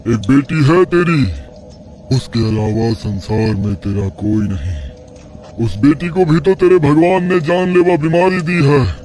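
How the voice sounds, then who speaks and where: An arrogant old sorcerer of the forest, acted out by a man for a horror audio story. He boasts and taunts in a dark, mellow voice, full of menace and scorn. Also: on the high side, lively intonation, a little slow, pitched above the performer's own voice. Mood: scornful, taunting.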